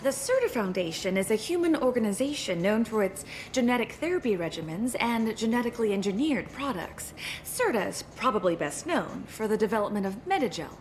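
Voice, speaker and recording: Polite shop clerk, game character, fairly high voice, animated intonation, formal, earnest, background noise. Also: female voice, brisk. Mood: formal, courteous. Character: courteous, earnest female medical outlet clerk. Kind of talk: video game dialogue.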